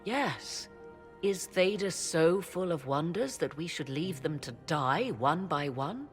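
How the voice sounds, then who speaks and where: Deep slow haughty sorceress, old-fashioned wording, secretive, fantasy game, music behind. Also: female voice, expressive. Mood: grave, impassioned. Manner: archaic, theatrical, deliberate phrasing. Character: haughty, secretive sorceress scholar of ancient lore. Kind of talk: dramatic fantasy game acting.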